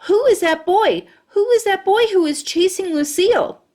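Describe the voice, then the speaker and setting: A woman voicing an eager, excitable little schoolgirl who squeals her lines. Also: high-pitched, unhurried. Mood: excited.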